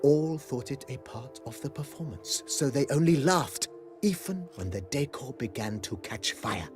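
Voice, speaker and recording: Man acting a formal, expressive male majordomo. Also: mid-range voice, close to an everyday voice. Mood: amused storytelling. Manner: formal, deferential, eloquent. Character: courteous, formal male estate majordomo, fond storyteller. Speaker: majordomo character in a fantasy video game. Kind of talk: video game voice acting.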